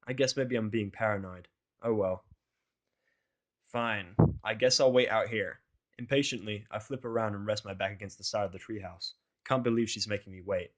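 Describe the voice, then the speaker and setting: Man, lowish voice, first-person story narration, casual, sardonic, grumbling. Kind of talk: scripted first-person fan fiction narration.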